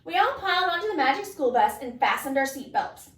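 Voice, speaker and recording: A woman reading a children's story aloud as an expressive narrator. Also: high voice, fairly quick. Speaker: storybook reader. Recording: echoey room, distant mic.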